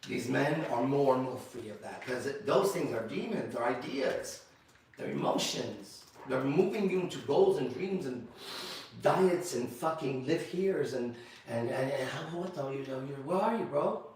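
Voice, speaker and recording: A man hosting a men's group discussion who preaches in a forceful, confrontational way and repeats himself. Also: mid-range voice, expressive, normal speed. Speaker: host and leader of a men's group. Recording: very echoey room, distant mic.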